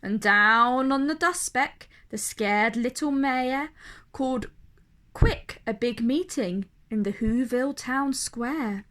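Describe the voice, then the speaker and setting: Slow, bright, high-voiced woman storyteller. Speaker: children's story reader. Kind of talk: expressive read-aloud storytelling for kids.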